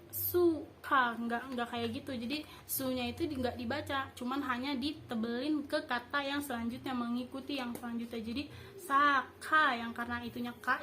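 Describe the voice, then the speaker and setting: High-voiced young woman teaching informally. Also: a bit bright, slight background noise. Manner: halting, improvised explaining with frequent self-corrections. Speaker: host teaching basic Japanese. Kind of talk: informal language review lesson.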